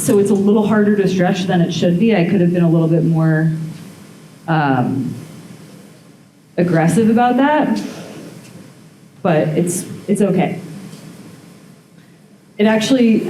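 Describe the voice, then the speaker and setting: Low, mellow-voiced woman demonstrating smithing. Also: a little hiss or hum. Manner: relaxed, thinking aloud while working. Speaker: blacksmith giving a demo. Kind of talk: casual live demonstration talk. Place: forge workshop at a hammer-in event. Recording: echoey workshop with faint ambience.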